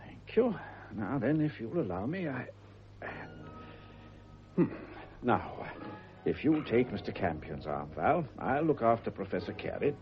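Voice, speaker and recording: An actor playing a courteous, ceremonial Crown official with a British accent in a radio drama, speaking in a formal, measured way. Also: male voice, medium pitch, sing-song, dull audio, soft background music. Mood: formal, solemn.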